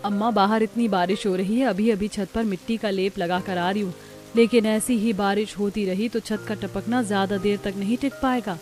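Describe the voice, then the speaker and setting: A woman voicing a gentle, self-sacrificing daughter-in-law from a poor village in a cartoon. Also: mid-range voice, fairly even intonation, moderate pace, soft background music, natural voice. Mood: patient.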